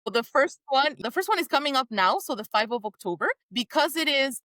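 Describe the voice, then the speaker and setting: A woman's voice: high-pitched, animated, fairly quick, fairly bright tone, no echo. A woman who is a native Spanish speaker, chatting enthusiastically in a casual interview as the guest. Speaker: podcast guest, scientist and children's book author. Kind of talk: casual video interview chat.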